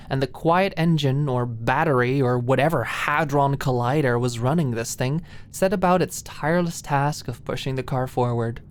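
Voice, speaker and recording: A woman's voice: rumbling low, darkish tone. A woman reading scripted romance fiction as an audiobook narrator in an ASMR style.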